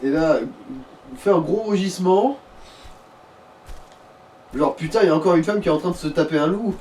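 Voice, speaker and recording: Man, soft dark tone, fairly high pitch, joking game chat, distant noisy mic. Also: normal speed. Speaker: let's play co-commentator. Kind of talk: casual gameplay chat. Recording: distant mic with light noise.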